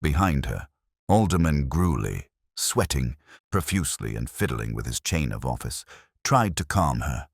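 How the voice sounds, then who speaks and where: A man narrating a mystery story from a script in a measured, literary way. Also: rumbling low, sing-song, creaky, husky, no echo, clean studio sound. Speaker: audiobook narrator.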